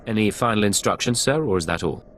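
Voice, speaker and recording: A man's voice: fairly low pitch, lively intonation, fast, fairly bright tone, a little hiss or hum. An actor playing a composed, confident male secret agent in a sci-fi game, speaking tersely and dutifully. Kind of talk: video game voice acting.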